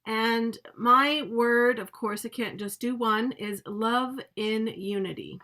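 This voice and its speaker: A woman from the Bahamas introducing herself on a group call, playful and warm. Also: on the high side, slow pace. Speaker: participant.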